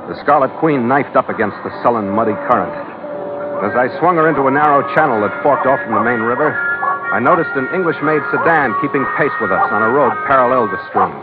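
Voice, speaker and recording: A hard-boiled sea captain played by a male actor tells his tale in clipped, dry phrases at a medium male pitch. It is vintage radio adventure acting, with a phone-like narrow sound and loud music behind. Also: natural voice. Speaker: lead actor and first-person narrator. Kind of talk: 1940s radio acting, scripted narration. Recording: thin old radio broadcast recording.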